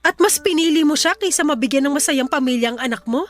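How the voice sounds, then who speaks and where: A woman's voice: high-pitched, fast talker, lightly acted. An actress playing a clingy young mother in a radio drama, pleading insistently with her former partner. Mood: hurt, upset.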